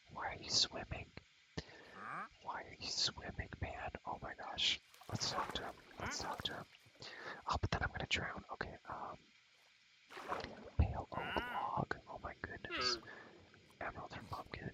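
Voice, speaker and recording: A man's voice: extremely high pitch, pushed and bright, rough recording. A young man whispering breathily into a close mic, rambling slangy ASMR gameplay commentary and repeating phrases over and over. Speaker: ASMR gamer and host.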